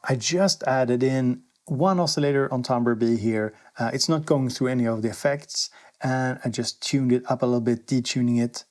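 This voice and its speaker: A man's voice: medium pitch, moderate pace, darkish tone. A man presenting a casual hands-on gear demo, thinking aloud in an informal way as he goes. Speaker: synth reviewer and presenter. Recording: synthesizer music playing alongside voice. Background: synthesizer sounds.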